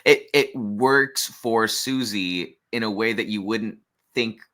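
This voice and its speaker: Low, bright-edged man chatting. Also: slow. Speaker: podcast co-host reviewing drag runway looks. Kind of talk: casual chat, opinionated commentary.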